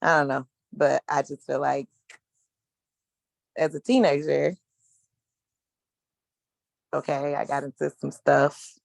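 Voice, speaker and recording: A man in his thirties giving a frank, casual personal testimony as a panelist, with slangy speech and hesitant pauses. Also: on the high side, little pitch movement, gravelly, no echo. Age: 30s.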